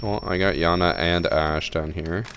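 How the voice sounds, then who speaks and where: A young man with a very deep voice chats casually while gaming at home. Gunfire and game sounds run clearly behind him. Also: fairly even intonation, unhurried, very noisy. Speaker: streamer.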